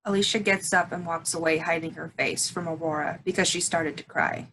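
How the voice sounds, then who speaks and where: A woman's voice: sits low, fairly even intonation, fairly quick, fairly bright tone, a little hiss or hum, poor audio. A woman reading stage directions as scripted narration.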